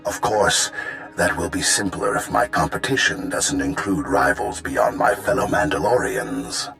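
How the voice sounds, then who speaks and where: A man's voice: extremely low pitch, sing-song, a little slow, creaky, fairly bright tone, faint music behind, heavily acted. A man voicing a smug, gloating bounty hunter villain in a video game, theatrical and articulate.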